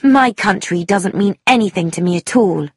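A woman's voice: mid-range voice, animated, normal speed, breathy edge, dry recording, clean studio sound. A woman voicing an angry, bitter young woman in an audiobook, emphatic and defiant. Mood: anguished, bitter. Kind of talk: audiobook character dialogue.

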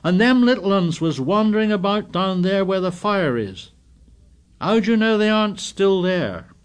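A man's voice: sits high, expressive, moderate pace, clear, resonant tone, pitched above the performer's own voice. A man voicing an indignant, fussy schoolboy who scolds breathlessly in dialect grammar. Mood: protesting.

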